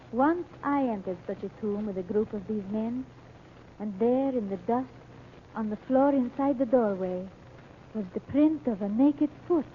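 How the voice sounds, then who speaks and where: Radio-serial wise woman played by an actress: grave Egyptian companion, formal, deliberate, slightly high voice, thin vintage sound. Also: lightly acted. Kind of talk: old-time radio serial acting. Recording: tinny, noisy old radio recording.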